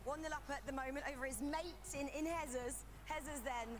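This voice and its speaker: A woman's voice: high-pitched, steady tone, fairly quick. A woman hosting a live esports broadcast, upbeat and rallying the audience. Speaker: esports event host. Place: live arena event. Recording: noisy arena broadcast feed.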